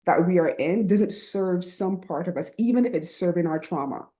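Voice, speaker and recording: A woman's voice: lowish voice, animated, normal speed. A middle-aged woman from Barbados with a Barbadian accent, speaking earnestly and reflectively as a podcast guest, often checking in with "right?". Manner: earnest and reflective, with frequent "right?" and soft laughs. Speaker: guest, writing program founder. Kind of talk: reflective conversational interview.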